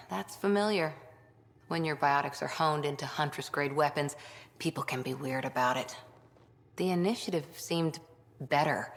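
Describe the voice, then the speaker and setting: Low voiced ex-commando woman, earnest, wounded, reflective, game cutscene, long echo. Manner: frank, reflective, clipped phrases. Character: earnest, wounded former commando, longing to belong. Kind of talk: video game dialogue acting. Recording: long reverberant hall sound.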